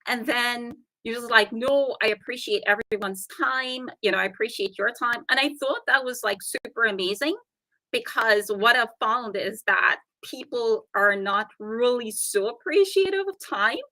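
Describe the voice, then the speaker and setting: A woman with a softened Trinidadian accent, a guest talking earnestly and explaining her ideas in a casual interview chat. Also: fairly high pitch, normal speed, dry recording, low-quality recording.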